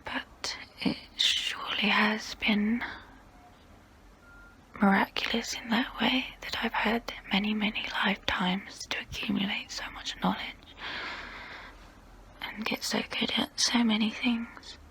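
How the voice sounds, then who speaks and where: Woman acting as centuries-old female vampire, breathy whisper, unhurried pace, intimate ASMR roleplay, guilty, wistful, tempting. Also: medium pitch, steady tone, pushed and bright, low-quality recording. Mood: wistful reminiscence. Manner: slow, intimate, deliberate delivery.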